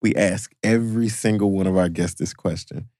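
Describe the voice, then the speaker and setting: A man co-hosting a podcast, running a playful quiz and getting excited over the answers. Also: sits low, moderate pace, dry recording, studio quality. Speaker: podcast co-host. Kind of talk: casual podcast chat.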